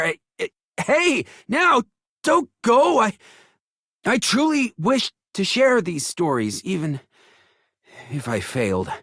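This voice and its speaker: A wistful, theatrical teller of ancient tales in a video game. The delivery is slow and florid, with huge swings in pitch, and falters dramatically. The sound is dry and close. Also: fairly bright tone, no room sound, heavily acted. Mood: pleading, then dejected. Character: theatrical, wistful storyteller of ancient desert tales, easily flustered.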